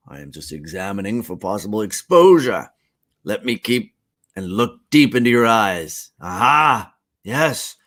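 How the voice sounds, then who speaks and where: A man's voice: medium pitch, a bit bright, voice pushed up. A man reading aloud, voicing a devoted husband who teases in a mock-formal way. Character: devoted husband, joking and tender.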